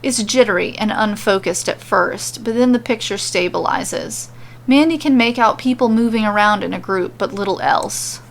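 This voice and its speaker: A woman's voice: medium pitch, normal speed, gravelly. A woman narrating scripted comedic fan fiction as a storyteller.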